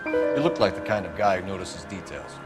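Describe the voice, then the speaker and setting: Police detective, American accent, businesslike, probing, animated pitch, clear music underneath, video game. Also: male voice, a little fast. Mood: pressing. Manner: businesslike, authoritative, clipped.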